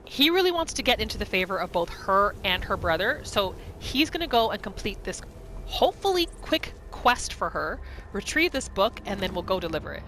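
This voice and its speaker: Lively woman narrating a game playthrough. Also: mid-range voice, a little fast, background noise. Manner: chatty, informal, storytelling in third person. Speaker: gaming video host. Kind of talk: casual roleplay gameplay narration.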